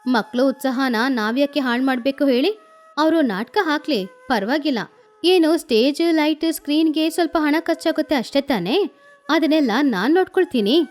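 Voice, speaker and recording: A woman as a calm generous village eagle. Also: high voice, normal speed, soft background music. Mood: reassuring. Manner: reassuring, settling matters.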